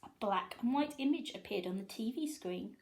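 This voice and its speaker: Expressive woman reading a children's story. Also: a little high, moderate pace, a little hiss or hum.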